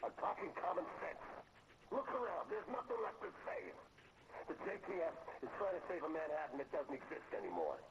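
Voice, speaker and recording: An actor playing a cynical, calculating rogue agent in a video game, persuasive and hard-edged. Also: male voice, on the high side, huge pitch swings, a little fast, vocal fry, lo-fi sound, noisy background, full character voice. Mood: bitter, resolute. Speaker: video game villain character. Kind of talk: dramatic game cut-scene dialogue.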